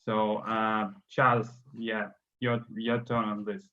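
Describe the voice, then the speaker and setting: A man's voice: on the low side, little pitch movement, takes it slowly, sharp tone. A man moderating an online panel, hesitant and full of fillers. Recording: dull lo-fi video call audio.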